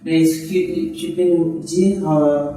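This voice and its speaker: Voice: mid-range voice, fairly even intonation, slow pace, warm tone. Distant echoey interviewer with music behind. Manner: long winding questions with fillers and repeated words. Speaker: interviewer, host. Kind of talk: interview questions.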